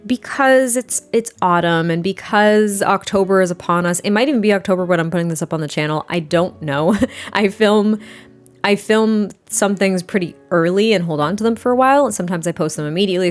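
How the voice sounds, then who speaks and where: A young adult woman with a slightly hoarse, rough voice, chatting casually and rambling through a voiceover, sounding a little tired. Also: fairly low pitch, brisk, soft background music. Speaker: Sims speed build creator.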